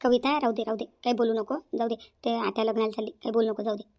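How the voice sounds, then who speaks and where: Meek, high-voiced elder daughter-in-law, acted by a woman. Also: steady tone, quick pace, darkish tone, close to an everyday voice. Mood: pleading. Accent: rural Maharashtra, Varhadi. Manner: timid, plaintive, conflict-avoiding. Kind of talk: cartoon family drama acting.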